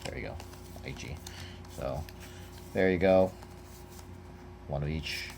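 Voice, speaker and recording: Casting: young man, deep and unhurried, easygoing unboxing chatter, background hiss and crinkling. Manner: rambling, relaxed, many fillers. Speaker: card collector unboxing. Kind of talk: casual unboxing commentary. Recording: noisy home recording. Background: crinkling of packaging.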